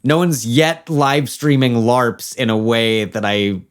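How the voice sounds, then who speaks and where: A man with a medium-pitched voice hosts a relaxed podcast interview. He talks informally, working out his thoughts as he goes. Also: takes it slowly, smooth. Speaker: podcast host and game master. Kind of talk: casual conversational interview.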